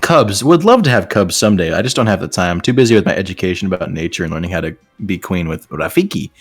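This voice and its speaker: A man's voice: sits low, animated, quick pace, slightly rough, no echo. A man reading aloud and riffing on it casually with friends, in a joking, sarcastic way. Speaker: host reading and mocking fan fiction. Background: faint background music.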